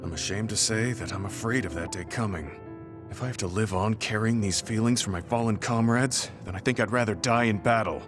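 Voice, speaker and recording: An actor playing a weary, remorseful veteran soldier in a video game, speaking in an earnest, apologetic and measured way. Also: male voice, lowish voice, lightly acted. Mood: somber, resigned. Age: older. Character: weary, remorseful veteran male soldier, humble and self-reproachful. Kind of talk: video game English voice acting. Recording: game music behind the voice. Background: game music.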